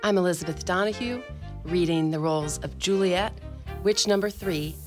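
A woman's voice: lowish voice, normal speed, over music. A woman reading a scripted introduction as the announcer of a radio drama programme. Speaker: announcer and player.

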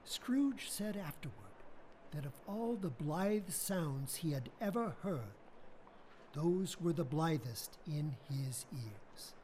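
A man narrating a stage radio play with expressive storytelling. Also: on the high side, slow pace, a little hiss or hum.

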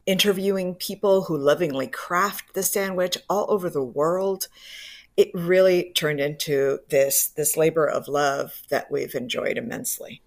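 A woman from Cuba, a professor and co-author, speaking as an enthusiastic guest on a radio interview. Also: on the low side.

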